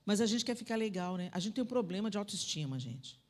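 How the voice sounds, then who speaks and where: A woman preaching a sermon in a church, talking to the audience directly and swinging between loud, emphatic declarations and soft asides. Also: medium pitch, lively intonation, quick pace. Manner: conversational, addresses the audience directly. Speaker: preacher at a women's conference. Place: church sanctuary.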